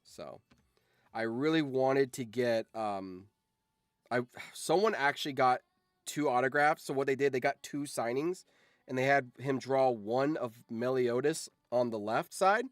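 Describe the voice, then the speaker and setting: A man with a mid-pitched voice talks casually on a livestream, close to the mic with a dry sound. He rambles through anecdotes like an enthusiastic fan, informal and happy to swear. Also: unhurried, no room sound. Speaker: streamer and voice actor.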